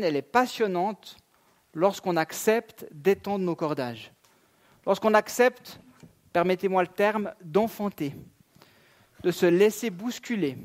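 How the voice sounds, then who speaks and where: Guy in his 30s preaching in church, pretty high voice, chatty with lots of little asides. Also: darkish tone. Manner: conversational, with fillers and asides. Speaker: pastor. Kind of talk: sermon. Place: church sanctuary.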